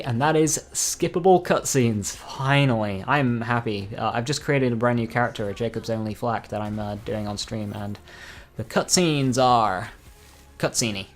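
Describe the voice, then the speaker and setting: A man talking casually through game news as a video creator, informal and dropping dry, joking asides. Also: mid-range voice. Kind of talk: casual gaming news commentary.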